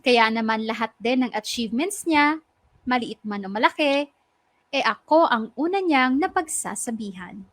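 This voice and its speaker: A woman's voice: fairly high pitch, lively intonation, normal speed, a bit bright, a little hiss or hum. A woman playing a devoted girlfriend, narrating her love story in a heartfelt radio drama style. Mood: proud, reminiscing.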